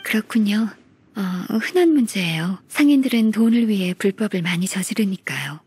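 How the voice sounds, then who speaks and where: An actress playing a composed, shrewd senior secretary in a video game, speaking politely and in a measured, matter-of-fact way. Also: female voice, medium pitch, expressive, darkish tone, slightly rough. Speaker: game character voice actor. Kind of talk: video game story voice acting.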